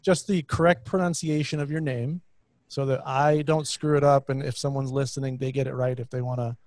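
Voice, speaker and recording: A man's voice: mid-range voice, little pitch movement, a little fast, darkish tone, dry recording, clean studio sound. Rambling male podcast host. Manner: rambling, lots of fillers and restarts. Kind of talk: casual interview.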